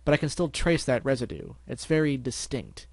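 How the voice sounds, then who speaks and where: A man voicing a calm, serious lavender unicorn stallion who questions others in a measured, firm, matter-of-fact way. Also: medium pitch, vocal fry, no echo.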